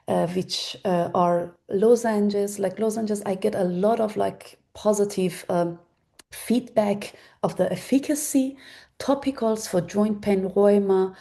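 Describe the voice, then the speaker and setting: A woman in her forties, a native Persian speaker, giving a webinar talk on medical research in a halting way, with many fillers and restarts. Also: mid-range voice, dark tone. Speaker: webinar presenter. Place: Germany.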